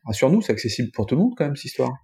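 A man hosting a casual interview, asking his questions in a halting, conversational way. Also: mid-range voice, very animated, gravelly, darkish tone. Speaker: interviewer, host.

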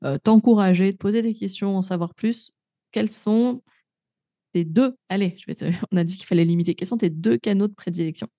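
Gravelly-voiced French podcast hostess, dry close mic. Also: female voice, mid-range voice, fairly quick, dark tone, no echo. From France. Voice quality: frequent fillers and restarts, laughs easily. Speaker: podcast host. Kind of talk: casual interview, then outro to listeners.

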